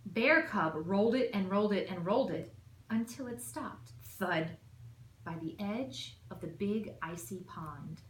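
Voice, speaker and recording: Woman reading a kids book out loud, slow n super expressive, echoey room, bit of background noise. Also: medium pitch. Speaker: assistant principal reading aloud. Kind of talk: children's storybook narration.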